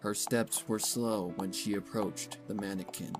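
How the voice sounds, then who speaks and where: A man narrating scripted fan fiction as a dramatic reading, solemn and measured. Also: lowish voice, steady tone, faint music behind.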